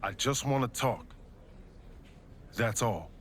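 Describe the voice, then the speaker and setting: A man's voice: medium pitch, animated, takes it slowly, a bit bright, a little hiss or hum. A man playing an earnest American wrestler pleading with his brother in slangy video game dialogue.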